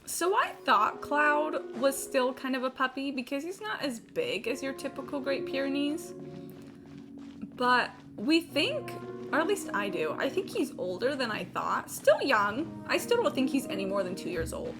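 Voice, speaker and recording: A woman's voice: sits high, sing-song, normal speed. A young woman chatting casually on a gaming livestream, informal, rambling and sweary. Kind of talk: casual gaming stream chat. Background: game music.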